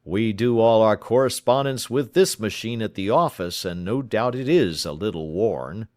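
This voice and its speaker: A man voicing a shifty middle-aged man caught in a deceit, talking loud and defensive. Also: lowish voice, moderate pace, no room sound, clean studio sound, close to an everyday voice. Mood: brusque.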